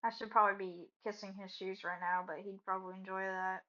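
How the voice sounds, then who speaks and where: A woman's voice: medium pitch, level tone, brisk, a bit bright, highs cut off. A young woman with a blunt, joking, sarcastic manner, giving casual commentary over her own game video. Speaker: gaming video creator.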